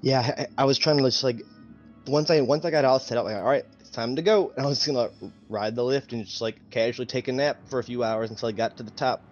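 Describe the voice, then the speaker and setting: A man chatting casually as a tabletop game player, recapping his goals in a deadpan, clipped way. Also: medium pitch, fast talker, dull audio, faint music behind.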